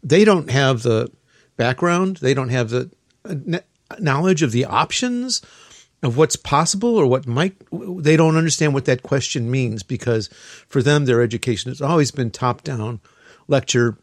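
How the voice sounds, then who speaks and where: A man hosts a relaxed podcast chat and talks his way through thoughts with plenty of ums and restarts. His voice sits at an average pitch and is recorded dry, with no room sound. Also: normal speed, dry recording. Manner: rambling, many fillers and restarts. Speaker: podcast host. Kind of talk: casual podcast conversation.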